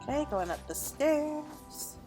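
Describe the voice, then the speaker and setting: A woman's voice: high-pitched, expressive, slow pace, music in the background. A woman giving casual, chatty commentary as she plays a game, reacting aloud as she goes. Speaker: gamer recording a playthrough.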